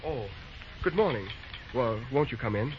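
An actor playing a brisk, plainspoken young acting railroad superintendent in a 1930s radio drama. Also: male voice, medium pitch, animated, close to an everyday voice. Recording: dull old radio recording with faint hiss.

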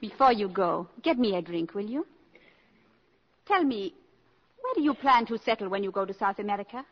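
A woman's voice: medium pitch, expressive, normal speed, natural voice. A woman playing a worldly, imperious countess. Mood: cool, curious. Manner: poised, teasing, commanding. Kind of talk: 1950s radio drama acting. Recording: dull 1950s radio broadcast recording.

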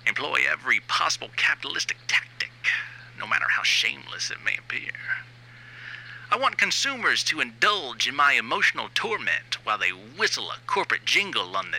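A man performs a brash, aging new wave rocker who cares only about money, in a satirical audio monologue. His voice is very bright and forceful with a gravelly creak, swinging up and down as he rants with sardonic emphasis. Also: medium pitch, full character voice, rough recording. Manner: sardonic, emphatic, profane, ranting.

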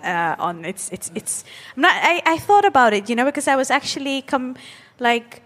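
A woman's voice: high-pitched, animated, normal speed, a bit bright. A woman from Ethiopia hosting a panel discussion, talking thoughtfully with many hesitations and restarts. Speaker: host and programmer. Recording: reverberant hall.

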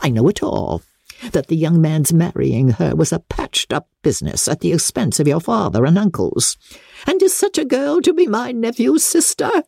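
A woman's voice: lowish voice, sing-song, normal speed, vocal fry, mellow, breathy edge, no echo, full character voice. Female reader as older aristocratic lady, imperious, incensed, declamatory, wide swooping intonation, dry close sound, classic audiobook. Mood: indignant, scornful. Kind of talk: dramatic classic novel reading.

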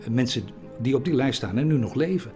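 Man, interviewee, halting delivery, frequent repetitions, searching for words, faint music behind. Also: mid-range voice, animated, warm tone. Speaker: interviewee recounting theatre history. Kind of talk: hesitant interview talk.

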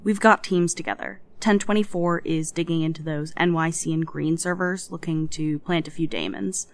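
An actress playing a wary, frustrated hacker collective coordinator in an audio drama, plain-spoken, hesitant and exasperated. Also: female voice, low voice, fairly even intonation, normal speed. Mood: wary, reporting.

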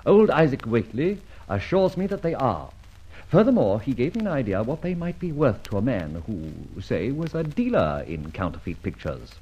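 An actor playing an amused, self-satisfied gentleman thief in an old radio serial, with flippant, urbane, florid delivery. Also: mid-range voice, sing-song, normal speed, husky. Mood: sly, suave. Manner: theatrical, urbane, chuckling, florid phrasing. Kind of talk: 1950s-60s radio serial acting. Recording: dull vintage radio recording, light noise.

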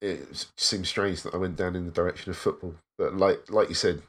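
Deep-voiced man, reflective chat. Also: fairly even intonation, brisk, fairly bright tone. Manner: reflective, leaning on "you know". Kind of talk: casual podcast chat.

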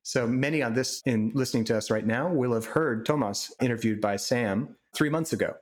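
A man's voice: mid-range voice, normal speed. A man hosting a podcast interview who asks his questions in an eager, friendly way. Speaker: podcast host. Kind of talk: conversational interview.

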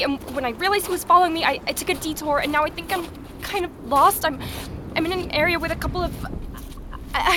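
A woman's voice: high voice, lightly acted. An actress playing an anxious young woman investigator in an audio drama, speaking in a hesitant, naturalistic way. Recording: faint background noise.

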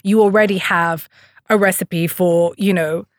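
A woman with a lowish voice, recorded close and dry, who thinks aloud as she speaks. She is a young adult from the Emirates and often pauses, restarts and fills gaps with "um" while building her points. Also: steady tone, dry recording. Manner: thoughtful, hesitant, many fillers and restarts. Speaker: guest. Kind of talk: reflective interview conversation.

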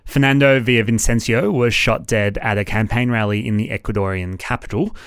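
Australian man, podcast co-host, scripted news read, slightly deep voice, dry close sound. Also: fairly even intonation, a little fast, clear, resonant tone, dry recording.

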